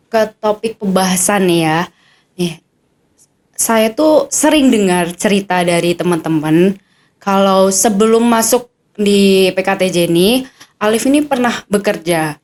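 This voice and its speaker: A woman's voice: mid-range voice, slow, long pauses, a little mellow. Curious young woman hosting a podcast. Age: young adult. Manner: chatty, curious, eager follow-up questions. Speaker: podcast host, cadet. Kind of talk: casual podcast interview.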